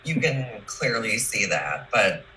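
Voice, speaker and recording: A woman hosts a casual discussion in a very bright voice at an unhurried pace. She is emphatic and effusive. The sound is an echoey video call. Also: rumbling low, big pitch swings, slight background noise. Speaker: show host. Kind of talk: casual chat.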